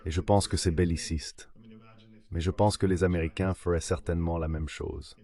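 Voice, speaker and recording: A man's synthetic AI voice giving a translated political analysis in a measured, formal read-out manner. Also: sits low, steady tone, mellow. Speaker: translation voice-over of an interview guest.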